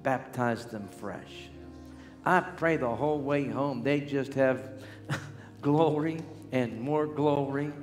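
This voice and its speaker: An older man, a healing evangelist, praying and preaching with fervor on a large church stage, at times breaking into shouts and song. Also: medium pitch, slow pace. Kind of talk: revival preaching and prayer. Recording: long hall reverb with music behind.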